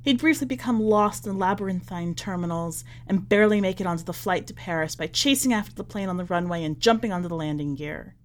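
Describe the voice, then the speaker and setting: A woman reading in a measured, expressive way, as a podcast host presenting and narrating short fiction. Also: fairly low pitch, slight background noise. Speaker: podcast host and story reader.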